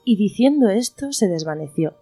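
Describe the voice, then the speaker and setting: A woman's voice: medium pitch, animated, moderate pace, dark tone, no echo, soft background music. A woman reading scripted narration for a radio play in the manner of a story.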